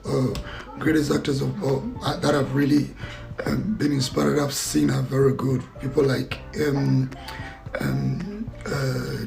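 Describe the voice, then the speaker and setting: A man answers interview questions in a deeply gravelly, creaky voice at an ordinary pitch for a man. He talks in a reflective way, feeling for his words and going back over them. Faint music plays underneath. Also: darkish tone, rough recording. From Zambia. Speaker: actor and filmmaker guest.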